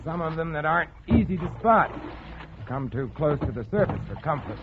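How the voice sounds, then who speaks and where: An actor playing a genial hunting host with veiled menace, urbane and mockingly solicitous, in 1940s radio suspense style. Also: male voice, a little high, very animated, brisk. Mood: silky menace. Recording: old radio, narrow band, faint noise.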